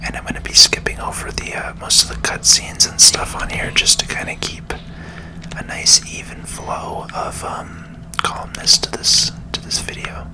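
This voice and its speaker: A person whispering calm ASMR commentary over a video game, close to the microphone. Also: poor audio. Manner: slow, calming.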